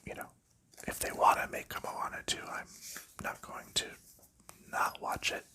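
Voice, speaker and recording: A man chatting softly in a whisper for an ASMR video, rambling and chuckling lightly now and then. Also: normal speed. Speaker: ASMR creator.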